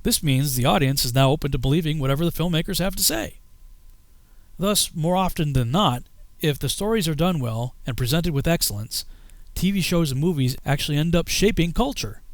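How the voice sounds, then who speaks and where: A man narrating his own scripted video as a filmmaker, with measured, emphatic phrasing. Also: fairly high pitch, lively intonation, no room sound. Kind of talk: scripted personal narration with a fundraising appeal.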